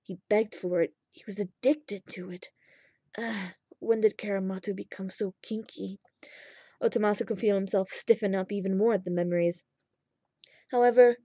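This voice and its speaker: A woman narrates a piece of fan fiction with expression. Her voice is dark and relaxed, at a middling pitch. The sound is dry, with hardly any room to it. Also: no echo. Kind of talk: expressive scripted narration of a story.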